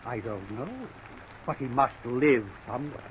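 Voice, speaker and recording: An actor playing a forgetful, teasing aging man in a 1940s radio drama, folksy and deadpan. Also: mid-range voice, moderate pace, close to an everyday voice. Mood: droll, rambling. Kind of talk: 1940s radio character acting. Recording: faintly noisy vintage radio recording.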